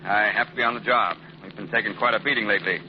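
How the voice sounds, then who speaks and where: An actor playing a gruff but good-natured middle-aged police commissioner in a 1940s radio drama, blustery in manner, on a muffled old broadcast recording. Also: male voice, on the low side, fairly even intonation, fast, background noise, natural voice.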